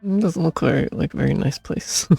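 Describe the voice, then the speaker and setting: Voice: mid-range voice, a little fast, vocal fry, mellow, breathy edge, soft background music. A game streamer giving casual, jokey commentary over gameplay with teasing asides. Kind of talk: casual live gameplay commentary with read-aloud.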